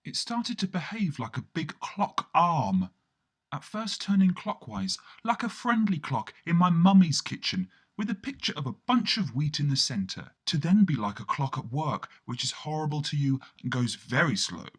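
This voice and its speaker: A man's voice: on the high side, big pitch swings, moderate pace, a bit bright. A man performing a surreal first-person radio play monologue in a theatrical way, with colloquial asides and sudden exclamations. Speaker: storyteller narrating in first person.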